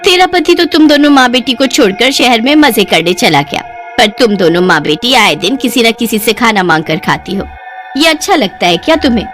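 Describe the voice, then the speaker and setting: A woman voicing a stingy, scornful village neighbour in a cartoon story, sharp and reproachful. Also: fairly high pitch, animated, normal speed, faint music behind.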